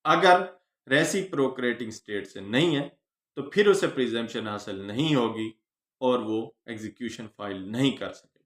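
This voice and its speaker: A man with a Pakistani accent giving an explanatory law lecture, teaching phrase by phrase with pauses. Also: mid-range voice, a bit bright. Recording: echoey room.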